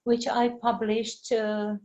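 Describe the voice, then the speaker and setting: Middle aged czech lady teacher, higher voice, talks really slowly and haltingly on a webinar. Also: little pitch movement, a little mellow, lo-fi sound. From Czech Republic. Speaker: language teacher giving a webinar. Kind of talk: informal lecture with explanations and answers.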